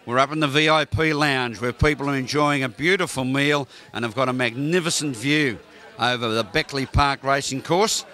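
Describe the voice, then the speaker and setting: A man's voice: medium pitch, normal speed, bright, forceful tone. A man with an Australian accent presenting local TV from a racecourse lounge, effusive and joking with guests.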